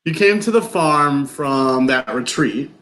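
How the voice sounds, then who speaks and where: A man playing a frustrated, incredulous novice farmer in a live stage play reading, with halting, colloquial delivery. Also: mid-range voice, lively intonation, fairly bright tone, poor audio. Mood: earnest, frustrated.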